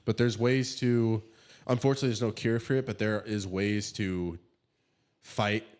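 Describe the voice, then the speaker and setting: A young man with a low voice and a slightly stuffed-up nose chats on a casual podcast. He talks in halting bursts, leaning on fillers and restarting his thoughts. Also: steady tone, darkish tone. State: stuffed up from allergies. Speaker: podcast co-host. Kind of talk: casual chat.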